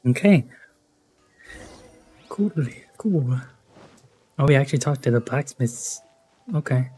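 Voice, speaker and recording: A man's voice: mid-range voice, expressive, takes it slowly, a little mellow, breathy edge. A man giving casual play-along commentary on a video game, laid-back and slangy. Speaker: game streamer. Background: game music.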